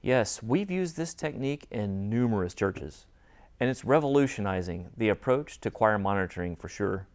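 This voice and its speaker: A man's voice: lowish voice, expressive, moderate pace, a little mellow. A man speaking as an interview guest, giving a rehearsed technical explanation as an audio and acoustics designer.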